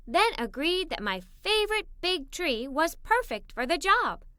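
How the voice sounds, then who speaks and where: A woman narrating a children's story in an expressive, dramatic read-aloud style. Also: high-pitched, no room sound, clean studio sound.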